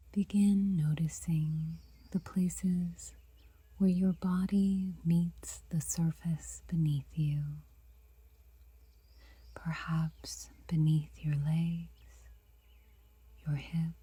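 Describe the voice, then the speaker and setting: A woman guiding a meditation in a soft, breathy whisper, unhurried and gentle, leaving long pauses. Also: on the low side, no room sound. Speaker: meditation guide.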